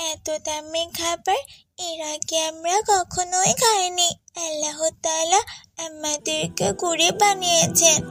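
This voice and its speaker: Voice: very high-pitched, fairly bright tone, no room sound, full character voice. A performer voicing a poor, meek little village girl in a cartoon, with a plaintive, pleading, childlike way of talking. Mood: timid, begging. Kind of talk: Islamic cartoon dialogue.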